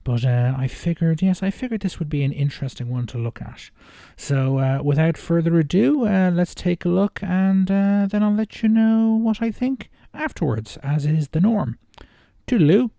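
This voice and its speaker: A man with a soft-edged, mellow voice in a dry, close recording, talking casually about video games as a reaction host. He rambles hesitantly and often doubles back to reword what he is saying. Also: high voice, moderate pace, creaky, no echo. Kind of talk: casual unscripted video game commentary.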